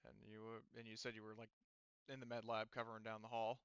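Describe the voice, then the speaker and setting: A man's voice: on the low side, level tone, fast, clean tone, dry recording, highs cut off. A man casually running a tabletop game as game master, thinking aloud and often muttering through the steps to himself.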